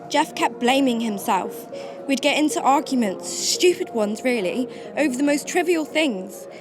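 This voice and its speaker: A woman playing a grieving mother searching for her missing husband in a radio drama, with a halting, emotional delivery. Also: high voice, lively intonation. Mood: subdued, sorrowful. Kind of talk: radio drama acting and first-person narration. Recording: music under the voice.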